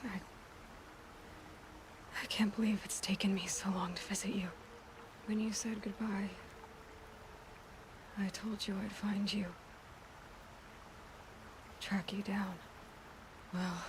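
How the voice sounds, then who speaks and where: Hushed young warrior woman, played by an actress, ambience behind. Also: medium pitch, slight background noise, close to an everyday voice, poor audio. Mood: tender, grieving. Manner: hushed, breathy, halting delivery. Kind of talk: cinematic video game acting.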